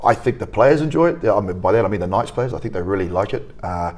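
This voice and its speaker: New Zealand man, lowish voice. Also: lively intonation, quick pace, a little mellow. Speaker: rugby academy coach. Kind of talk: interview.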